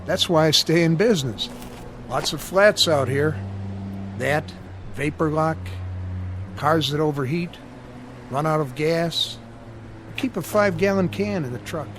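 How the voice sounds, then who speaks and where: Laconic, higher-voiced roadside mechanic man. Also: measured pace, long pauses, a bit bright, slight background noise. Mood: easygoing. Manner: laconic, reassuring. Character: easygoing, folksy male roadside mechanic. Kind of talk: radio drama dialogue.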